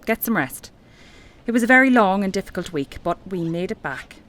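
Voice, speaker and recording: A woman playing a dedicated archeology professor in an audio horror drama, speaking in an articulate, measured, scholarly manner. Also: medium pitch, animated, fairly bright tone, close to an everyday voice. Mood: reassuring, brisk. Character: dedicated female archeology professor, affectionately commanding, increasingly entranced. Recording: music bed behind the voice.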